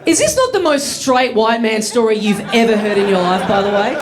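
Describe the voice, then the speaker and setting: A man's voice: high-pitched, expressive, fairly quick. A man in his thirties with an Australian accent, telling a self-mocking stand-up story to a laughing live audience. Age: 30s. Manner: wry, self-mocking, acts out voices. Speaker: stand-up comedian. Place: comedy studio with live audience.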